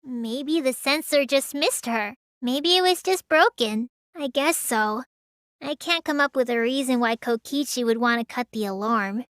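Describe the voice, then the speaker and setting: A woman voicing a small, childlike teenage girl mage in a video game, high and girlish, sulky and excitable with a whiny edge. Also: measured pace, dry recording, clean studio sound. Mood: sulky, matter-of-fact. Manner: whiny, stammers when startled. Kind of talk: English game dub voice lines.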